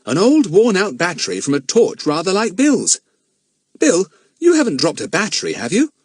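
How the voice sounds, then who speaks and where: A man's voice: sits high, huge pitch swings, moderate pace, breathy edge, dry recording, voice pushed up, full character voice. A man voicing a teenage boy who talks in a wry, matter-of-fact way.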